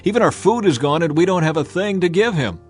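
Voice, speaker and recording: A man's voice: on the high side, animated, fast, darkish tone, faint music behind, pitched above the performer's own voice, lightly acted. A man playing a humble, doubtful young Israelite man in a dramatized scripture reading. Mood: worried, practical.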